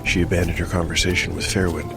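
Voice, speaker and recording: Casting: male narrator and game master, low register, scripted fantasy storytelling with music bed. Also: level tone, fast talker, gravelly.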